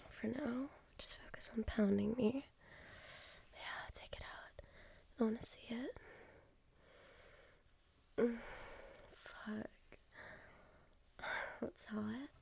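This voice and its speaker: Slow, whispering young woman with vocal fry. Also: fairly high pitch.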